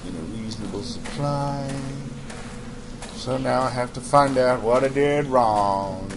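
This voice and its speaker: A man's voice: mid-range voice, a little slow, background noise. A man hosting a let's play, reading in-game journals aloud and making sarcastic asides. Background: music.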